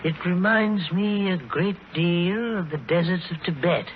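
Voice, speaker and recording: A learned space expedition professor, with a fairly high male voice and precise, scholarly delivery. It sounds like a muffled 1940s radio play with clear background noise. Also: a little slow. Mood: brisk, optimistic. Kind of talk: 1940s radio drama acting. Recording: muffled, noisy old 1940s radio recording.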